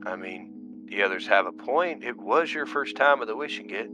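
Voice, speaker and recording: A man's voice: medium pitch, lively intonation, moderate pace, dull audio, over music, lightly acted. An actor playing an earnest, inquisitive man investigating a mystery in an audio drama. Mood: concerned, questioning. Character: earnest, inquisitive man searching a murdered tavern keeper's home. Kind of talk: narrative podcast acting.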